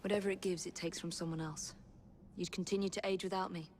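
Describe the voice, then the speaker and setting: An actress voicing a poised young woman assassin in a game cutscene, composed and quietly wry. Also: fairly low pitch, fairly even intonation, fast, slight background noise, lightly acted. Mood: calm. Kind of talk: video game cutscene acting.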